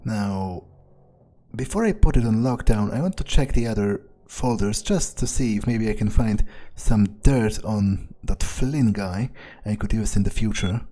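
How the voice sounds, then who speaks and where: A man's voice: on the low side, measured pace, dark tone. A man doing in-character roleplay commentary over a game, thinking aloud hesitantly with casual menace. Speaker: gaming video player. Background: faint music.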